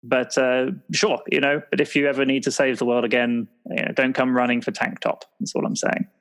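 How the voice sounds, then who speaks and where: A man's voice: mid-range voice, level tone, brisk, fairly bright tone, lightly acted. A man playing a wry, loyal secret agent who talks in a casual, rambling way in an improvised roleplay. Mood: wounded, sulky. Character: aggrieved but loyal male secret agent, a husband.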